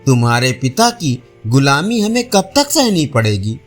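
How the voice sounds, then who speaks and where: A man's voice: medium pitch, sing-song, a little slow, pitched below the performer's own voice. A man voicing a resentful village daughter-in-law who complains scornfully in a storybook tale. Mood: bitter. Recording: faint music behind voice.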